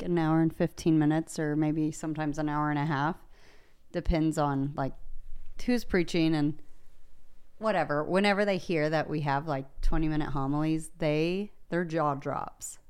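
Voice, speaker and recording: A woman's voice: sits low, darkish tone, clear, resonant tone. A woman co-hosting a podcast as a layperson, chatting casually and encouraging her guest with short replies. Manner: encouraging, many short backchannels. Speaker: lay co-host.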